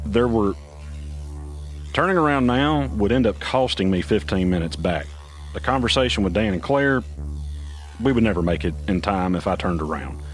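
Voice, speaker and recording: A man's voice: lowish voice, lightly acted. An actor playing a brooding protagonist, narrating his story in scripted first person for an audio drama. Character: brooding male protagonist recounting his flight.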